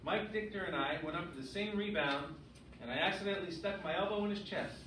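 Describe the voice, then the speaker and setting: A man's voice: high-pitched, fairly quick, bright, forceful tone. Man teaching, echoey and far from the mic. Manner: questioning, checks understanding with frequent "right?". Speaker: sixth grade English teacher. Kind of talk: classroom lesson with read-aloud. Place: classroom. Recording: echoey, noisy room, distant mic.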